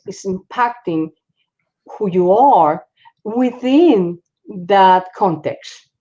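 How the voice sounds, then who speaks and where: Woman, halting. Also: mid-range voice, warm tone. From Dominican Republic. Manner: halting, many fillers and restarts. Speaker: psychologist guest. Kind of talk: panel discussion on a video call. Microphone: video call. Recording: dull lo-fi call audio.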